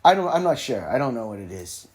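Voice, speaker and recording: A man talks on his own as a podcast host in a casual, rambling way. His voice sits at a middle pitch, and he often breaks off his own sentences for wry little asides. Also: sing-song, quick pace, a little mellow. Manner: rambling, self-interrupting, wry informal asides. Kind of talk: casual solo rambling talk about music. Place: informal solo recording with a phone at hand.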